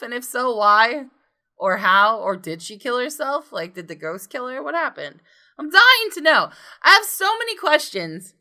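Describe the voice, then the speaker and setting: A woman's voice: mid-range voice, sharp tone. A woman gaming host reads a visual novel aloud with suspenseful expression and slips into casual commentary. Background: game music.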